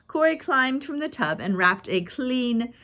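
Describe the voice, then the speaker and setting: A woman's voice: high-pitched, expressive, a little slow, pushed and bright. A woman reading a chapter of a biography aloud as narrator, lifting her voice for quoted lines. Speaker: audiobook reader. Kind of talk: scripted narration.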